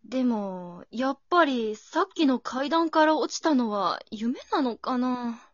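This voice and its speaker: A woman playing an anxious, bewildered high school girl in an amateur radio drama, thinking aloud in exclamations. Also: animated, measured pace, no room sound, highs cut off.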